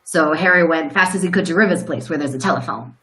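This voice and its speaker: A woman playing a plain rural farmer in a live radio play, telling a grim story in a halting, folksy way. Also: deep voice, fairly bright tone, low-quality recording. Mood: uneasy recounting.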